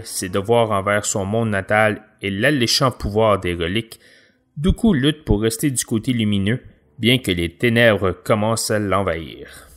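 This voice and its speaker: A man with a Quebec French accent, hosting a book review podcast with hesitant pauses. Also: medium pitch, a bit bright. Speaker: podcast host. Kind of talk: podcast book review, partly reading a synopsis aloud.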